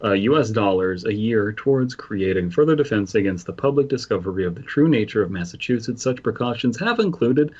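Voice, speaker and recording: A man's voice: fairly low pitch, lively intonation, brisk, few pauses, darkish tone, slightly rough, dull audio. A man co-hosting a podcast, switching between casual comedic chat and reading aloud. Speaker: podcast co-host.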